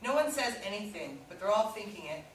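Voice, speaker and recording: A woman with a middle-pitched voice reads stage directions at a play reading. She is recorded from a distance in an echoey room with faint noise. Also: very animated, quick pace. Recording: echoey room, distant mic, hiss, clicks.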